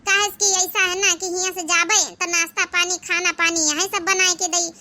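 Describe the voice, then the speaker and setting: A woman's voice: very high-pitched, little pitch movement, normal speed, sharp tone. A woman with an Awadhi accent performing comedy cartoon dialogue in a chattering, complaining village-gossip manner.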